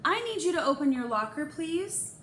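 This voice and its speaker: A woman's voice: high voice, big pitch swings, normal speed, a bit bright, pitched above the performer's own voice. A woman voicing a stern, commanding female school principal in a storybook, firm, formal and emphatic. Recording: echoey room, faint background noise.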